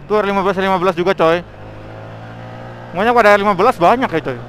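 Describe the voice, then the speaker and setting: A man chatting casually and excitably in slangy style as he vlogs while riding outdoors. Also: sits high, quick pace, slight background noise. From Indonesia. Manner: excitable, exclamatory, interjection-filled. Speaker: motovlogger. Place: outdoors, riding a motorbike on a forest mountain road.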